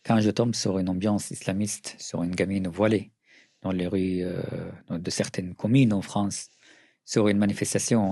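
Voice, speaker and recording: A middle-aged man from Syria with a Syrian accent, answering interview questions earnestly, often pausing and restarting. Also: fairly low pitch, warm tone, husky, dry recording, clean studio sound. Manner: earnest, with frequent hesitations and restarts. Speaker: writer and journalist, interview guest.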